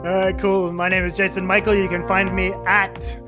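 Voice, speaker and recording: A man in middle age hosts a film podcast in a relaxed, conversational style. His voice sits on the high side for a man. He talks informally and swears freely, with light music underneath. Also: moderate pace. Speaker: podcast host. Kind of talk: casual film podcast chat.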